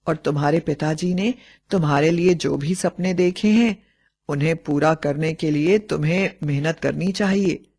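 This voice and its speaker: A woman storyteller voicing a kindly middle-aged man who advises gently and formally. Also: low voice, pitched below the performer's own voice. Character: kindly middle-aged family friend, a calm consoling man.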